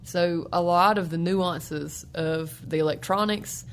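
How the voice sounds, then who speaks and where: A young woman from North Carolina with a low voice, chatting casually as a guest musician. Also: fairly even intonation, slow, slight background noise. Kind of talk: casual interview chat.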